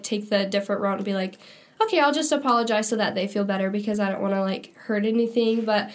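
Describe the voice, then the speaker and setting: A young woman co-hosting a casual faith-based podcast, sounding nasal from a cold and talking in a chatty way full of "like" and "um". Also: mid-range voice. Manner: chatty, lots of "like" and "um". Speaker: podcast co-host.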